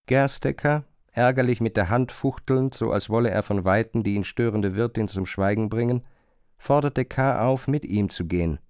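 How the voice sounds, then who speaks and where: A man's voice: on the low side, moderate pace, a little mellow, clean tone, dry recording. Male literary narrator, close dry sound. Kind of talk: scripted literary narration in radio drama.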